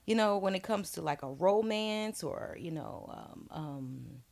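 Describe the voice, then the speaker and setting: A woman's voice: lowish voice, lively intonation, a little slow, gravelly, a little mellow. A woman co-hosting a casual radio talk show, thinking aloud with hesitations and fillers. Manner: thoughtful, hesitant, many fillers. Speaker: radio co-host.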